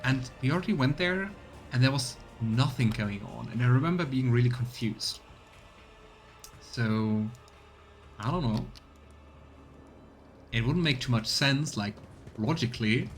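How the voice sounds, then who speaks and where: A man streaming a role-playing game, chatting casually as he plays and rattling off item descriptions in quick bursts. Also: medium pitch, expressive, soft background music. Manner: thinks aloud, reads item text in quick bursts. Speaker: let's play streamer. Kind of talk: casual gameplay commentary.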